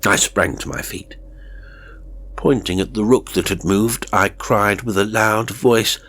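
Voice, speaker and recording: A man narrating a horror story in a measured, suspenseful and theatrical way. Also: on the low side, a bit bright. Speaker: audiobook narrator.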